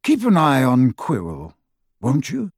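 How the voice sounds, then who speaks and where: Audiobook role: cool, grave old wizard man performing, reproving yet composed, breathy-rough voice in a dry booth. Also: mid-range voice, wildly swooping pitch, no room sound, exaggerated voice. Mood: offhand. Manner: measured, cool, gently reproving. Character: calm, stern, wise old male headmaster wizard.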